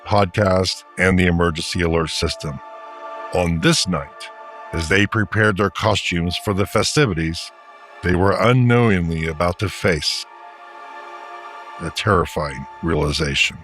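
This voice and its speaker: A man narrating a radio drama as a solemn, ominous storyteller, with a grave, measured, portentous delivery. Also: deep voice, fairly bright tone. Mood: solemn, foreboding. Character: solemn, ominous male storyteller introducing an alien invasion. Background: music.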